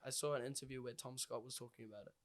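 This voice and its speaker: A man's voice: medium pitch, fairly even intonation, rapid-fire. A man with a New Zealand accent talking casually and informally, with plenty of slang, as a guest in a conversation. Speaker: podcast guest, hip-hop artist.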